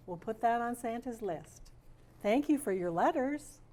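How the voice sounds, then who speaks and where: A woman reading aloud expressively and deliberately as a storyteller in the role of Mrs. Claus, with bits of casual chat. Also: a little high, expressive, mellow.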